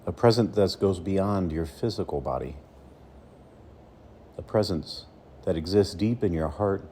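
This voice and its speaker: Male meditation coach, low and soft-toned, unhurried soothing phrasing with deliberate gaps, faint noise behind. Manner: slow, soothing, with deliberate pauses between phrases. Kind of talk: guided meditation.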